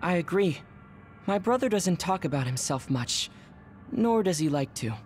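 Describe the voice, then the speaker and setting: An actor playing an earnest, thoughtful young soldier in a video game, explaining things in a measured way. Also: male voice, sits high, lively intonation, slight background noise.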